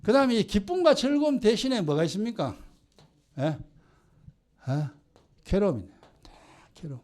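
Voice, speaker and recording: An older man with a Gyeongsang Korean accent preaches, scolding his listeners with pointed rhetorical questions. His voice sits high and leaps across a very wide range, rising sharply when he presses a point. He talks straight to the congregation. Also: very animated, normal speed, warm tone. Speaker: pastor. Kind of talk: sermon.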